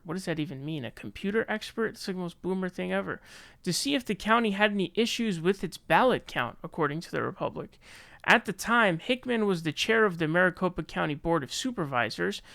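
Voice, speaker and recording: American guy doing casual political commentary, highish smooth voice, pretty dry sound, opinionated. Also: sharp tone, no room sound. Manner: opinionated, colloquial. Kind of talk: casual news commentary, reading articles aloud.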